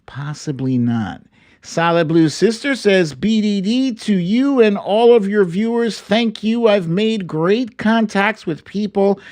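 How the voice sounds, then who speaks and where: A man's voice: sits high, slow, darkish tone. A man from Brooklyn hosting a livestream talk show, chatting informally and slangily straight to his viewers. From Brooklyn, New York. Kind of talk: casual livestream chat with viewers.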